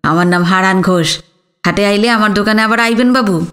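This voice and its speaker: A woman's voice: mid-range voice, fairly quick, fairly bright tone, voice pushed down, close to an everyday voice. A woman voicing a humble, hardworking village sweet-shop man with an East Bengali accent in an audiobook. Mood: respectful. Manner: humble, respectful. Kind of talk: audiobook character dialogue.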